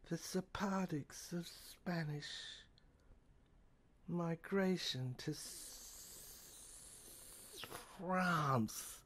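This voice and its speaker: Female narrator for an audio serial: unhurried, halting, theatrical delivery with chant-like phrasing. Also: fairly low pitch.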